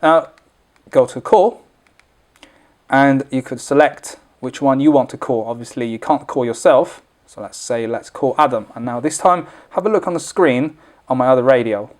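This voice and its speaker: A man's voice: mid-range voice, moderate pace, darkish tone. A man presenting an informal, unscripted product tutorial, explaining things step by step as he demonstrates.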